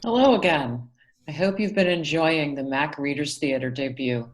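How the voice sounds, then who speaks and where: A woman's voice: deep voice, moderate pace, rough recording. A woman hosting a show, giving a polite scripted appeal directly to viewers. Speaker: host making a donation appeal.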